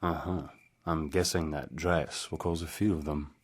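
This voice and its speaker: A man's voice: a bass voice, steady tone, moderate pace. An actor voicing an easygoing, joking male handler in a game cutscene. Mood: wry. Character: wry male handler speaking over comms. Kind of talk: video game cutscene acting. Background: background music.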